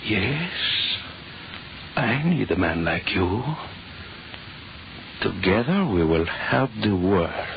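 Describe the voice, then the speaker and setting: Grandiose scientist, wildly animated. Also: male voice, low-pitched, takes it slowly, gravelly, pauses often, exaggerated voice. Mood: guarded, proud. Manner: theatrical, grandiose. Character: exultant scheming foreign scientist drunk on power. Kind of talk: 1940s radio acting. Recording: muffled, noisy old radio broadcast.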